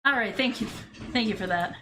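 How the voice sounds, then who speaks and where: A woman's voice: on the high side, fairly quick. A woman streamer chatting casually and reading a visual novel aloud. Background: music.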